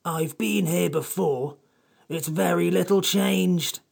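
Creaky, crabby little magical creature. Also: male voice, high-pitched, slow pace, pitched above the performer's own voice, exaggerated voice. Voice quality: creaky, gravelly, husky. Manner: crabby, pompous, disdainful. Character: cross, snail-eyed furry magical creature, haughty and complaining. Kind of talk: character voice in storybook reading.

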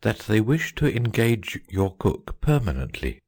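A woman voicing a solemn, pompous golden phoenix, a male bird, speaking slowly and precisely in a storybook reading. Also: a bass voice, very animated, a little mellow, dry recording, studio quality, voice pushed down, full character voice. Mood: solemn, lofty. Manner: slow, stately, precise.

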